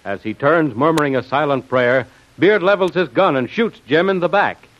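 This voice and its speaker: A man's voice: fairly high pitch, normal speed, a little hiss or hum. A man announcing and narrating an old radio adventure serial in a dramatic, emphatic style. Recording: muffled old radio broadcast.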